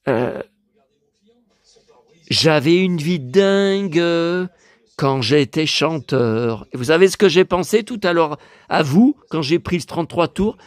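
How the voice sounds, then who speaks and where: Hoarse middle-aged man rambling on. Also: a little high, expressive, slow pace, no room sound. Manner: rambling, repeats words, stammers, emotional asides. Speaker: YouTuber. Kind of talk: casual rambling vlog chat. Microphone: phone.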